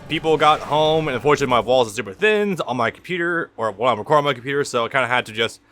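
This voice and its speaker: Loud, bright-voiced man rambling over gameplay. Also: on the high side, a little hiss or hum. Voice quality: loud, projecting voice. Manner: rambling and frank, swears freely. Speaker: let's play host. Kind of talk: casual gameplay commentary. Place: at home at his computer, thin walls. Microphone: computer recording mic.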